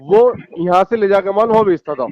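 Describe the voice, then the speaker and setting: A man's voice: high voice, brisk. A man on a phone call, pressing insistently and repeating himself. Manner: insistent, repetitive. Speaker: phone caller. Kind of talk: argumentative phone conversation. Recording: dull, noisy phone call with clicks.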